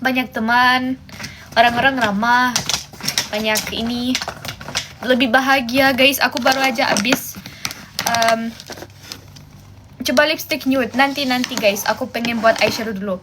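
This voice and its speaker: A woman's voice: fairly high pitch, fairly even intonation, measured pace, pauses often, noisy background. A woman from Germany, chatting casually and talkatively to viewers as a livestream host. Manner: chatty, switches between languages. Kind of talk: casual chat with viewers while doing makeup.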